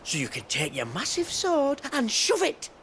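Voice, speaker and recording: An actor playing a glib, foul-mouthed British smuggler boss in a video game, sarcastic and full of bluster. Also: male voice, very high voice, wildly swooping pitch, moderate pace, fairly bright tone, a little hiss or hum, exaggerated voice. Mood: defiant, sarcastic. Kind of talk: video game cutscene acting.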